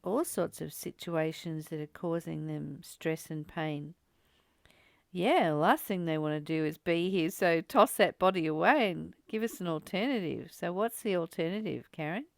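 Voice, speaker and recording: Creaky-voiced woman, close dry sound. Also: on the low side, normal speed, no room sound. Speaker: spiritual study host. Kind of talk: casual reflective discussion.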